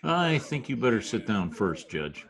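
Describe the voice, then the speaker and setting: An actor playing a wry, deadpan Broadway go-between who also narrates, in old-time radio comedy style. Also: male voice, mid-range voice, measured pace, vocal fry, full character voice. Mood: wry, persuasive.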